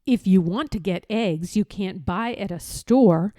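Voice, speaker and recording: A woman reading a rhyming children's storybook aloud in an expressive, playful way, with deliberate and emphatic phrasing. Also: medium pitch, no echo, studio quality.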